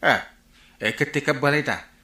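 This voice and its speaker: A man playing a comical, excitable village captain full of exclamations in an Ilocano radio comedy drama. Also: a little high, normal speed. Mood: incredulous. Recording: echoing radio drama, birdsong behind.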